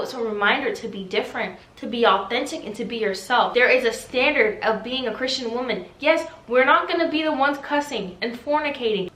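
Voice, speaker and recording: A woman in her twenties, a faith vlogger answering viewer questions in a talkative, animated way, exhorting listeners with rhetorical questions. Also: high voice. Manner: talkative and animated, self-described loud yappy voice. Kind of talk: casual faith chat, preachy Q&A. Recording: echoey room.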